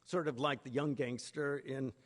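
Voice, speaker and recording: A man giving a literary lecture in a deliberate, emphatic manner with pauses between phrases. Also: medium pitch, steady tone. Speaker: conference speaker, literary lecturer. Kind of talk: literary lecture with read-out quotations.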